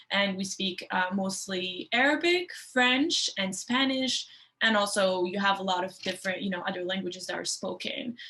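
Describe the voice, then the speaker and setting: A woman in her twenties from Morocco, talking casually to students with plenty of fillers and restarts. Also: mid-range voice. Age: 20s. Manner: relaxed, with many fillers and restarts. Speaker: guest speaker for an education program.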